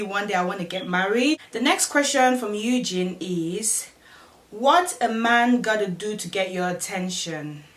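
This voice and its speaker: A woman's voice: medium pitch, a bit bright, distant mic. Chatty Cameroonian woman in an echoey room. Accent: Cameroonian English. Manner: chatty, reads questions aloud and answers them. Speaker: vlogger. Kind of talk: casual Q&A vlog.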